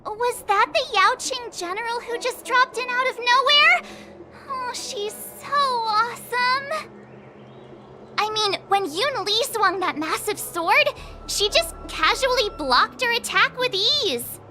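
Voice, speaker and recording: A woman playing a cheerful, excitable teenage girl adventurer in an anime-style game, with bubbly, expressive delivery. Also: very high voice, slow pace, over music, heavily acted. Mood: excited admiration.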